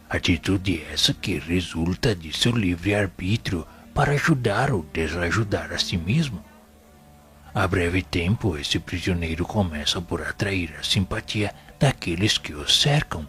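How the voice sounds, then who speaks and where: A man with a Brazilian accent playing a venerable, wise and patient spirit mentor in an audio novel, speaking in a formal, didactic, measured way. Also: sits low, a bit bright. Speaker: audio drama actor. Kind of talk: solemn scripted spiritist teaching in an audio novel.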